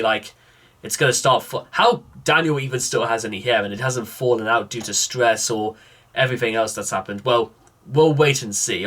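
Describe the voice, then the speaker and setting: A man delivers unscripted commentary on a recording. His voice sits at a middling pitch, and he muses aloud with an exasperated manner, working through his thoughts as he goes. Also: a bit bright. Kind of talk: off-the-cuff reaction commentary.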